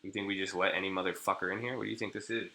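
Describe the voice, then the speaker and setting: A man's voice: sits low, steady tone, rapid-fire, vocal fry, bright, forceful tone. A young man from California chatting casually on a live trading stream, rambling, cursing and restarting his sentences. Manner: rambling, crude slang, frequent restarts. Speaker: day trader streaming live. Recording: echoey room.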